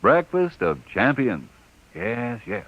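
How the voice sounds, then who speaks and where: A man announcing a 1950s radio advertisement in a folksy, emphatic, conversational sales pitch. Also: very animated, slow pace. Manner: folksy, emphatic, rhetorical questions. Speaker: commercial announcer. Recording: dull old radio recording.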